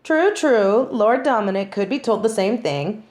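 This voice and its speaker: A woman's voice: medium pitch, expressive, unhurried, darkish tone, natural voice. A woman playing a haughty, sardonic young female judge in a dramatic audio roleplay, speaking in formal, imperious, theatrical phrases. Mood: wry, teasing. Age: young adult.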